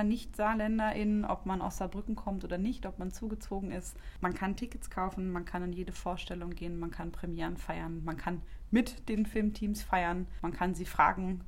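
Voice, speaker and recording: A woman from Berlin who works for a film festival, answering interview questions with eager, detailed explanations and long lists. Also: fairly low pitch. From Berlin, Germany. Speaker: film festival staff member.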